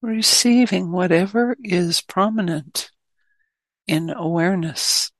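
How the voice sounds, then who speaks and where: A woman meditation teacher speaks very slowly in a slightly low voice. Her phrasing is gentle and spacious, with long pauses between short instructions. The sound is dry and close. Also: no echo. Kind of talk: guided meditation.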